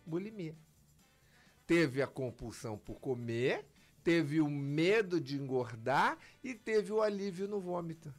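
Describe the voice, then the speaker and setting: Lively gravelly man explaining. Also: on the high side, measured pace, faint music behind. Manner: lively, emphatic, acts out patients' lines. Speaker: physician guest. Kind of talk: explanatory interview talk.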